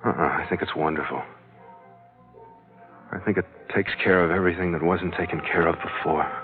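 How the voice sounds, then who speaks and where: An actor playing a tough, romantic sea captain in a 1940s radio adventure, speaking in a terse, wry way. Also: male voice, sits low, fairly quick, natural voice. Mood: tender, easy. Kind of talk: 1940s radio adventure acting and first-person narration. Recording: muffled old radio recording with music.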